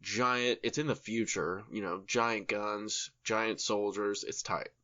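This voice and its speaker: A man's voice: on the low side, fairly even intonation, slow, bright, forceful tone. A man co-hosting a podcast, chatting casually and enthusiastically with slang and swearing. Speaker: podcast co-host.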